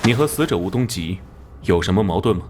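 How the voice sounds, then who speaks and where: An actor playing an earnest young police investigator in an animated crime drama, asking questions in a direct, plain-spoken way. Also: male voice, low-pitched, a little fast, slight background noise. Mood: stern.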